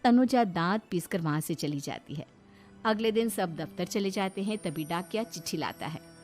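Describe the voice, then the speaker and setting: A woman's voice: fairly low pitch, expressive, moderate pace, a little mellow, soft background music. A woman narrating a scripted cartoon story as its storyteller.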